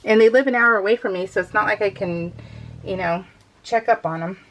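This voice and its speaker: A middle-aged woman talks in a casual, chatty voice-over for a craft vlog. She has a medium-pitched voice and a giggly, animated way of telling stories, often acting out what was said. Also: a little fast, slight background noise, rough recording. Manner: giggly, animated storytelling with quoted exchanges. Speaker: craft vlogger.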